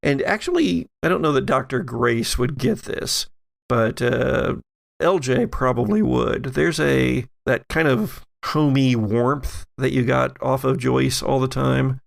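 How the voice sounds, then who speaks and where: Slow, gravelly man running a game. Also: medium pitch. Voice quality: gravelly, creaky. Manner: halting, hesitant phrasing. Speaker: game master. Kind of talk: improvised narration of a tabletop horror game.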